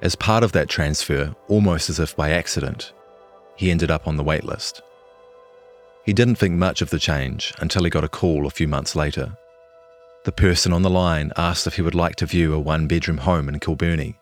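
A man's voice: extremely low pitch, normal speed, soft background music. A man with a New Zealand accent, speaking as a journalist.

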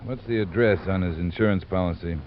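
Through a muffled, faintly hissy old radio recording comes a man acting as a very deep-voiced American homicide sergeant. He is gruff and weary, talking in a curt, flat, matter-of-fact way, in the style of 1950s detective radio. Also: a little fast, close to an everyday voice.

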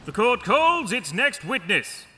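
An actor playing a pompous, imperious young judge in a fantasy audio drama, commanding and officious. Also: male voice, high voice, big pitch swings, measured pace, sharp tone, slight background noise, exaggerated voice.

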